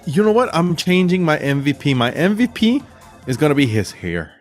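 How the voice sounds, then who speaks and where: Lively man with a highish, swinging voice. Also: moderate pace, faint music behind. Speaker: podcast co-host. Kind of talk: casual movie review chat.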